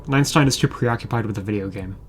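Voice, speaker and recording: A man's voice: on the low side, quick pace, soft background music. A man reading scripted commentary as a video reviewer, with a wry, sarcastic manner. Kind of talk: scripted commentary over show clips.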